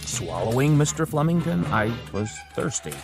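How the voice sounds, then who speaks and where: Timid office underling in a radio play, nervous, up n down pitch, music under. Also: male voice, big pitch swings, normal speed, gravelly. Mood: nervous, apologetic. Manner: meek, hesitant, deferential. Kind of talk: radio drama acting.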